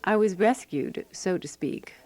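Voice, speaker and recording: An actress playing a composed, wry woman sleuth narrating a radio mystery in measured, scripted storytelling. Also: mid-range voice, mellow, no room sound, close to an everyday voice.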